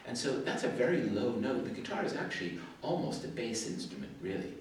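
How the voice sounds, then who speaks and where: A man's voice: medium pitch, sing-song, fairly quick, a little hiss or hum. Chatty older man with a Suffolk-Canadian accent. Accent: Suffolk English with Canadian traces. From England, early life in Canada. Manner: chatty and digressive, self-deprecating asides. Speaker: competition adjudicator. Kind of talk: spoken feedback to performers. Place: school music hall. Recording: very echoey hall, distant mic.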